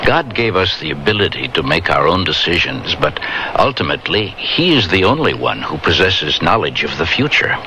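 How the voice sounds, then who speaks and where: Deep-voiced, pious radio reverend. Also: male voice, sing-song, full character voice. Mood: solemn, preachy. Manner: measured, pastoral, gently preachy. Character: fatherly, pious reverend, grave and consoling. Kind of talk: radio drama acting. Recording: noisy old radio recording.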